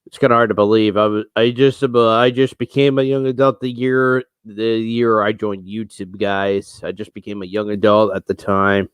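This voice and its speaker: A man's voice: on the low side, level tone, normal speed, hardly any pauses, smooth, no room sound. A man in his thirties ranting on a solo livestream, rambling and restarting, frustrated, talking into a headset mic in a small room at home. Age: 30s. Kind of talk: casual solo livestream rant.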